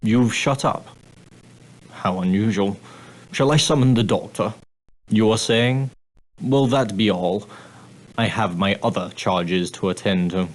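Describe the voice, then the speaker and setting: A prim and proper male steward of an ocean liner, speaks in a fairly low voice. He is formal and clipped, with a dry, condescending edge beneath the courtesy. It is scripted character dialogue for an adventure game. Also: unhurried, pauses often, low-quality recording. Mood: prim, sardonic. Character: prim, straight-laced male ship's steward, dryly sardonic.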